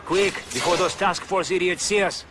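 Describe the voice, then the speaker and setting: A man's voice: high-pitched, fairly even intonation, moderate pace, music in the background, close to an everyday voice. A man playing an uneasy, superstitious mob henchman in a video game, grumbling and conspiratorial. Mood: grumbling, wary. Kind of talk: video game cutscene acting. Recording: clear noise and clicks behind voice.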